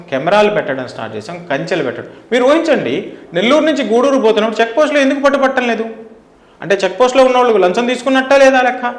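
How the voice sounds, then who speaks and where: A middle-aged man from India, speaking emphatically as an interview guest, with rhetorical questions and repeated phrases. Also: sits high. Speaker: interview guest, former air force officer. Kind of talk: opinionated interview talk. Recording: roomy, echoing indoor sound.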